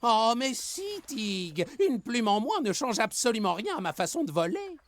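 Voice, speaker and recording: A woman playing a gentle, grateful male bird in a children's cartoon, speaking in an unhurried way. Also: a little high, sing-song. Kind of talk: French children's cartoon dub. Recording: loud music under the voice.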